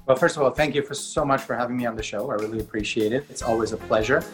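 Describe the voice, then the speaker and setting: A middle-aged man from Austria, a company co-CEO, answering interview questions in a measured way with many fillers. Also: mid-range voice, soft background music. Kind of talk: interview conversation.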